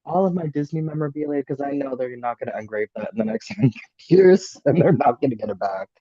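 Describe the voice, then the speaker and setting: Mellow-voiced chatty man on a muffled call. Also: medium pitch, animated, quick pace, no echo. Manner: chatty, laughs easily. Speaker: game player. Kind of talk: casual chat. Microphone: video call.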